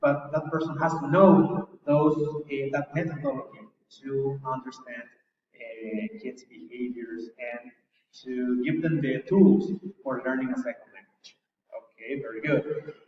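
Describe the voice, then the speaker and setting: A man's voice: medium pitch, steady tone, slight background noise. Man interviewing in an echoey hall. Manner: upbeat, halting, many fillers. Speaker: interviewer, teacher. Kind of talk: conference interview. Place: conference venue. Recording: echoey hall, distant mic, muffled.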